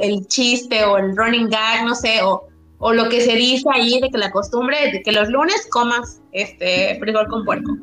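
A woman's voice: on the high side, sharp tone, reverberant, poor audio. A woman from Yucatán being interviewed, talking casually and rambling with many restarts and fillers. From Mexico, Yucatán.

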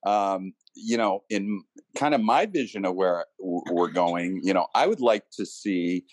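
A man talking casually as an interview guest, in a hesitant, halting manner. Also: deep voice, no echo. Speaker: company team member being interviewed.

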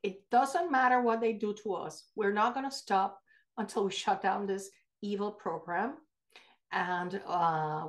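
A woman co-hosting an advocacy podcast, talking unscripted in an earnest, emphatic way and often pausing mid-sentence. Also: mid-range voice.

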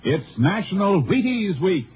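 A man's voice: high voice, big pitch swings, measured pace, over loud music. A man announcing a 1950s radio commercial with jovial, bantering delivery. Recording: lo-fi, faintly noisy 1950 radio broadcast.

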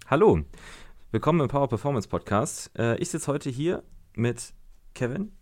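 A man's voice: medium pitch, expressive, moderate pace, darkish tone. A young man hosting a casual podcast interview, talking hesitantly with many fillers and restarts. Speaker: podcast host.

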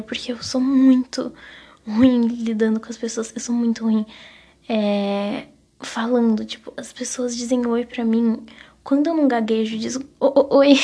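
A woman's voice: on the high side, warm tone, breathy edge. A young woman with a Brazilian accent chatting casually and confessionally as a solo podcast host, rambling self-consciously and stumbling over her words.

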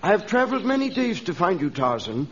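An actor playing an earnest, devout missionary with formal, archaic phrasing in an old radio adventure serial. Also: male voice, high-pitched, sing-song, brisk. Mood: urgent, foreboding. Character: devout, earnest missionary man, slender and urgent. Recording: dull, noisy vintage radio transcription.

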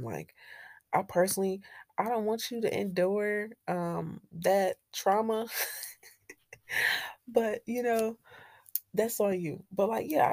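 A woman's voice: lowish voice, warm tone, no room sound, clean studio sound. A young woman hosting a solo podcast, talking in a candid, blunt way with plenty of swearing and laughter. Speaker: podcast host. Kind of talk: casual solo podcast monologue.

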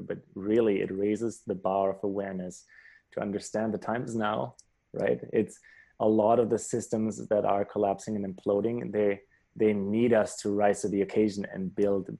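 A man's voice: fairly low pitch, creaky, mellow. A man hosting an interview, earnest and affirming, with some stammered restarts. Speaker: host. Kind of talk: casual interview chat.

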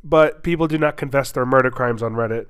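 A man's voice: medium pitch, quick pace, clear, resonant tone. A young man hosting a podcast, congested with a stuffy nose, chatting casually with many fillers and restarts, then reading a story aloud. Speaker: podcast host.

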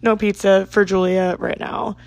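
A woman in her twenties from South Jersey, hosting a podcast in a rambling, chatty monologue, her voice hoarse and raspy. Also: medium pitch, level tone, moderate pace, darkish tone, no room sound. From South Jersey, United States. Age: 20s. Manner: rambling, lots of "like" and asides. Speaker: podcast host.

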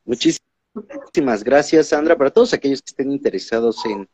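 A man with a Mexican accent hosting a podcast interview in a casual, animated way, often repeating his words. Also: mid-range voice, moderate pace. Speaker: podcast host. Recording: dull lo-fi sound.

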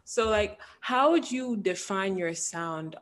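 A woman's voice: mid-range voice, animated, takes it slowly. A young woman from Ghana who just finished university, interviewing in a chatty, teasing way full of "like". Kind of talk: casual interview chat.

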